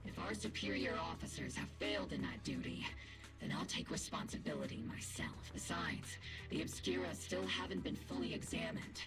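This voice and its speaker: An actor playing a bold, confident military squad captain with stern resolve in a video game, with loud music behind. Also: medium pitch, huge pitch swings, moderate pace, creaky. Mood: stern, resolute. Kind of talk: dramatic video game dialogue.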